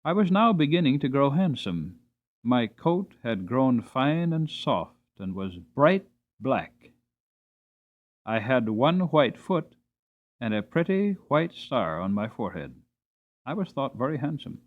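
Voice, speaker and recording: A man's voice: medium pitch, very animated, a little slow, narrow, tinny audio. Spirited young storybook horse, male narrator, earnest, theatrical, very varied intonation. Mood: proud.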